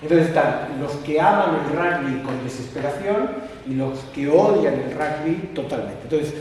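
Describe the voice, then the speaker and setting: A man with an Argentine Spanish accent speaks to an audience in a relaxed, conversational way, pausing on fillers. His voice sits a bit high. The sound comes from far off in a reverberant hall with faint noise behind it. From Catalonia, Spain. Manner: conversational, halting with fillers and restarts. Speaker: guest speaker. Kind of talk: public lecture. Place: lecture hall.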